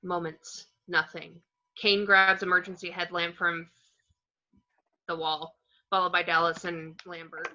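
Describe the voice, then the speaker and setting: Female narrator for screenplay action lines; very bright, forceful tone, slightly muffled lo-fi sound. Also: lowish voice, little pitch movement, unhurried, long pauses. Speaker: narrator reading stage directions. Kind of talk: scripted screenplay narration at an amateur table read.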